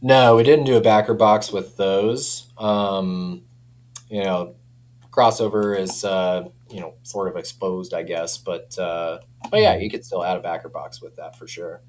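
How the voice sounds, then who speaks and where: A man speaking as a company representative in a casual product chat, informal and hedging, quick to chuckle. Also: low-pitched, steady tone, slight background noise.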